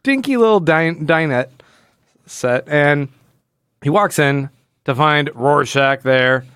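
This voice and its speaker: Male podcast host, slightly high-pitched and close-sounding; casual, wry, jokey, slips into mock dialogue. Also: slow, a bit bright, clear, resonant tone, no echo. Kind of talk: casual comic book discussion.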